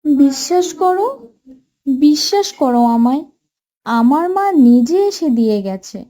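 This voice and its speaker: A woman's voice: high voice, animated, measured pace, mellow. A woman voicing a meek, mistreated young stepdaughter in a cartoon story, hesitant and pleading.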